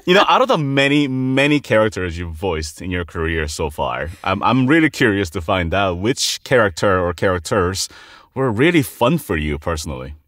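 A man hosting an interview podcast, chatty and quick to laugh, often restarting his phrases. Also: deep voice, sing-song, fairly bright tone, no room sound, clean studio sound. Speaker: podcast host. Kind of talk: casual interview.

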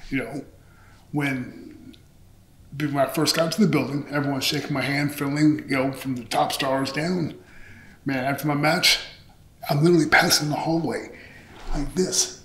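A man's voice: medium pitch, fairly bright tone. Middle-aged man storytelling in an echoey room. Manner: candid, profane, acts out dialogue. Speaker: interview guest, pro wrestler. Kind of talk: animated casual storytelling. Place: small indoor interview set on a couch. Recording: roomy echo.

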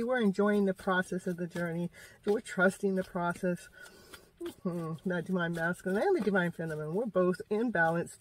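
A woman's voice: medium pitch, a little hiss or hum. A middle-aged woman giving an informal, rambling tarot reading, giggly and exclamatory, often repeating her phrases. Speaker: tarot reader hosting a show. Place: inside a parked car. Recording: slightly distant in-car microphone.

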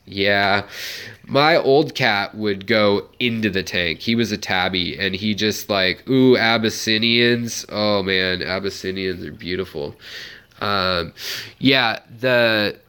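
A man's voice: fairly low pitch, takes it slowly, bright, forceful tone, clean tone. A young man with a stuffed-up nose, chatting casually and rambling on a home live stream beside his fish tank. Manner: rambling, chatty, many fillers. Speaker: aquarium channel host.

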